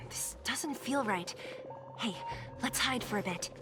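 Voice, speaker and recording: An actress voicing a young woman in a video game, an alert and cautious ally who talks with brisk urgency. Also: fairly high pitch, animated, over music. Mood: wary, urgent. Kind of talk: video game character dialogue. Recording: clear ambient noise behind the voice.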